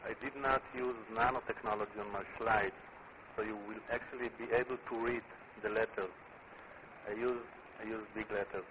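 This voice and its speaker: A man with an Israeli accent, a company chief executive giving a conference presentation in a deliberate way, repeating words as he builds his points. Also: lowish voice, steady tone. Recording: muffled recording with clear background noise.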